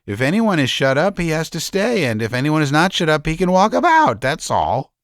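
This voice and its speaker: A man voicing a gentle, guilty middle-aged doctor who speaks softly and stammers. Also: fairly high pitch, wildly swooping pitch, brisk, dry recording, clean studio sound, heavily acted. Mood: resigned. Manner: soft-spoken, stammering.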